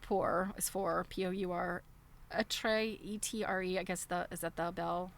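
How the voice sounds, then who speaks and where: A woman's voice: lowish voice, fairly even intonation, measured pace, gravelly. Chatty woman reading aloud on a livestream. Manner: chatty, digressive, often breaking into chuckles. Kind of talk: casual livestream read-aloud with chatty commentary.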